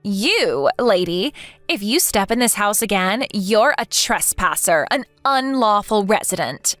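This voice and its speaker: A woman's voice: medium pitch, animated, unhurried, a bit bright, no echo, faint music behind. A woman playing a smug, taunting young mistress in a dramatized story, sneering and condescending to her rival. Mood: haughty gloating. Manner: mocking, gloating, condescending.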